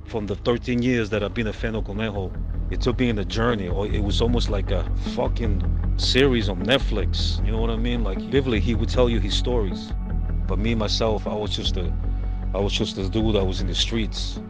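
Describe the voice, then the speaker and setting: A middle-aged man with a fairly low voice, from El Salvador, talks as in a documentary testimonial. He is earnest and casual, using street slang and swearing. Music runs clearly underneath. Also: a little fast. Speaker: fan interviewee. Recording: music playing behind the voice.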